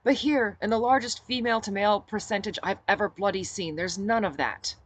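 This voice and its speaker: A woman's voice: medium pitch, a little fast, pitched above the performer's own voice, lightly acted. Brash male rebel acted by a woman narrator, blunt, clumsily flirtatious, audiobook dialogue. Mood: puzzled, blunt.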